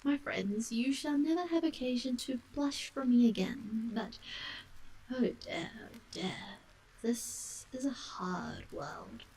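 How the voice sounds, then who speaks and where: A woman's voice: on the high side, slow pace, a little mellow, slight background noise, low-quality recording. A woman voicing a vain, self-pitying male toad in a piteous, theatrically contrite manner for a storybook. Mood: mournful, contrite.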